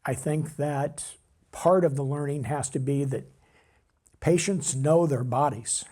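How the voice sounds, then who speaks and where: Older man, husky, mellow voice. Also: a little high. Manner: earnest, halting, with restarts. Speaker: patient speaking at an event. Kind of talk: emotional public speech.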